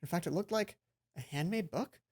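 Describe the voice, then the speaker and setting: A woman's voice: lowish voice, moderate pace, heavy background noise. A woman let's player reading a visual novel aloud expressively, now and then stumbling and repeating a line. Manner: expressive, stumbles and rereads lines.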